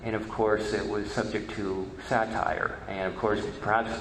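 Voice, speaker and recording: Man, lowish voice, informal lecturer, halting delivery, reverberant hall, faint noise. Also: level tone, a bit bright. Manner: halting, repeats words. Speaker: presenter and media researcher.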